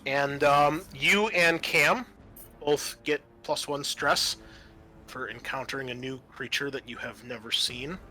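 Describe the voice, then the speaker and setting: A man runs a tabletop horror game in a bright, forward voice. He explains things to his players in a casual way, with music playing faintly behind him. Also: medium pitch, fairly even intonation, measured pace. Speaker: game master.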